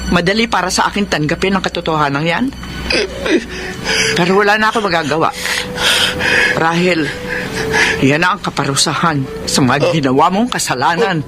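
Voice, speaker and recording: An actress playing a harsh, embittered mother and wife with a sharp, curt, scolding manner in a Filipino radio drama. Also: lowish voice, normal speed, pauses often, music in the background, lightly acted. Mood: bitter, angry.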